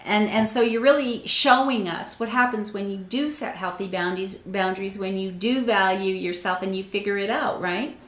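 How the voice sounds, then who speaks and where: A woman's voice: mid-range voice, moderate pace, hardly any pauses. A woman hosting an interview, talking in a casual, affirming way with frequent restarts. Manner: warm affirming, many restarts and fillers. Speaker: host. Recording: roomy echo.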